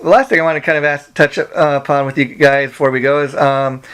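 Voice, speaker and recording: A male interviewer speaks in a smooth, clear voice at a middling pitch. He rambles through his questions in a casual way, padding them with "you know" and "like", over a phone call. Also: fairly even intonation, fast, a bit bright.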